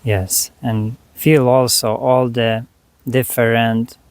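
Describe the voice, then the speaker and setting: A man leading a guided meditation, speaking to the listener in short, unhurried phrases with long pauses between them. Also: medium pitch, level tone, clear, resonant tone, no room sound.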